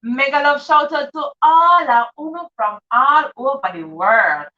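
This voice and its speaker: A woman's voice: high voice, lively intonation, unhurried, bright, forceful tone. A woman enthusiastically hosts a children's livestream, greeting viewers and often repeating her phrases. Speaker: host of children's live read-aloud show. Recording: dull, distant livestream microphone.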